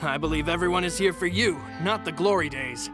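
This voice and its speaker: A man's voice: on the high side, brisk, natural voice. A man voicing a loyal, earnest follower devoted to his boss in a video game, with music behind him. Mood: earnest, supportive. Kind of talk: video game story dialogue. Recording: music clearly under the voice.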